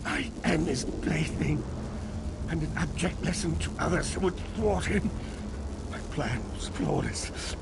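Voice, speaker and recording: An actor playing a defeated, arrogant sorcerer villain in a fantasy game, bitter, tormented and grandiose. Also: male voice, fairly high pitch, unhurried, gravelly, heavy background noise. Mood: anguished, bitter. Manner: theatrical, venomous, grandiose. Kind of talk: dramatic fantasy video game acting.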